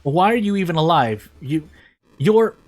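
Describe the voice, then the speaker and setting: A man's voice: a little high, animated, unhurried, darkish tone, pitched above the performer's own voice. Man acting out a bitter young witch girl, ranting. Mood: tearful anger. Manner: accusing, impassioned ranting.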